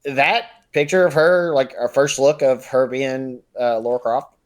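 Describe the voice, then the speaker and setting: A man's voice: medium pitch, steady tone, unhurried. An American man co-hosting a podcast, giving his opinions in casual pop-culture conversation. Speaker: podcast co-host.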